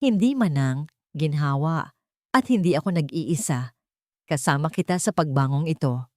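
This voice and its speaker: Isang babaeng may puntong Pilipino na gumaganap bilang matatag, mahinahon at pormal na babaeng ehekutibo sa isang kuwento. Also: female voice, low-pitched, expressive, moderate pace, darkish tone, no echo, studio quality. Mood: grateful. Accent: Filipino. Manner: firm, clear, formal delivery. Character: confident, composed young female executive, formerly a wronged wife.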